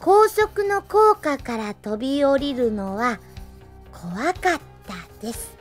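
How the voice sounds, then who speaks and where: A woman's voice: high voice, sing-song, takes it slowly, faint music behind, full character voice. An actress playing an earnest, scatterbrained young policewoman thinking aloud as she writes her diary in an anime radio drama. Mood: earnest, indignant. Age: young adult. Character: young policewoman, earnest, girlish, scatterbrained rookie robot pilot.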